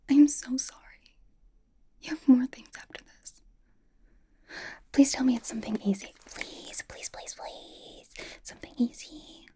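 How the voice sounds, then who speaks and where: Woman playing a breathy, whispering bubbly young K-pop idol. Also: high-pitched, unhurried, long pauses, warm tone, exaggerated voice. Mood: pleading, sympathetic. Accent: American. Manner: chatty, rambling, hesitant, reassuring. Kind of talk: ASMR personal attention roleplay.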